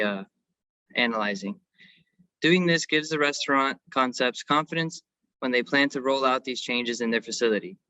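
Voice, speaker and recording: A man's voice: medium pitch, pushed and bright, no echo. A man giving a semi-scripted technical presentation as an engineering consultant, on a slightly dull recording.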